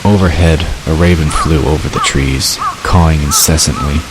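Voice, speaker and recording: A man's voice: rumbling low, steady tone, moderate pace. A man narrating a dramatic fantasy story from a script. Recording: clear hiss behind the narration.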